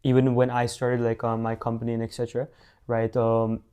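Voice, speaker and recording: Give me a teenage man from India, rambling and flat-voiced, full of fillers. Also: medium pitch, fairly quick, smooth. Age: teens. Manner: rambling, many fillers and restarts. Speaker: guest, young business founder. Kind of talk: casual interview answers.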